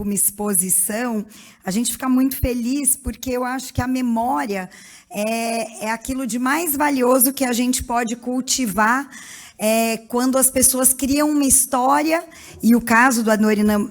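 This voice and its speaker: Brazilian woman speaking off the cuff. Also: a little high. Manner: unscripted, with restarts and "né" fillers. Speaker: municipal culture secretary. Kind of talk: off-the-cuff speech at a ceremony.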